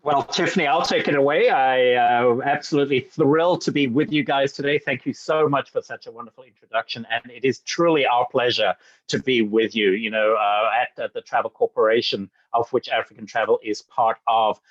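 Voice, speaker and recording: A man's voice: mid-range voice, lo-fi sound. Upbeat man from Zambia selling safaris. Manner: energetic, fast-talking, frequent fillers and restarts. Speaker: sales director presenting. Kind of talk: enthusiastic webinar presentation.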